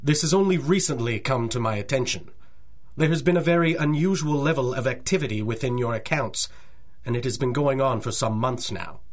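An actor playing an older bank president in a radio drama, formal, fussy, deferential and anxious. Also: male voice, medium pitch, fairly quick, natural voice. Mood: grave, puzzled. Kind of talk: 1930s-style radio drama acting.

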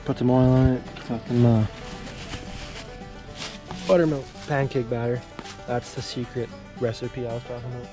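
Young dude cooking fish outside, easygoing running commentary, music underneath. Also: male voice, medium pitch, flat delivery, moderate pace, long pauses. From Canada. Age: young adult. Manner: easygoing, self-narrating while he works. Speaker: fishing vlogger. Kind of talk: casual outdoor cooking vlog. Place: outdoors at a lakeside parking area. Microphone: action camera, sometimes handheld at arm's length.

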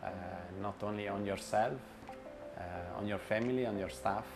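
A man with a lowish voice answers interview questions slowly and haltingly. He restarts often and fills his pauses with "uh". Clear background music sits under his voice in a bright indoor room. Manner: hesitant, many fillers and restarts, non-native grammar. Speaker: restaurant owner and chef.

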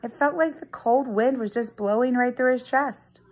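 A woman in her thirties, a teacher, reading a children's story aloud in a dramatic, expressive storytelling manner with deliberate emphasis. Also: on the high side. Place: living room at home. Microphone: phone or tablet at arm's length.

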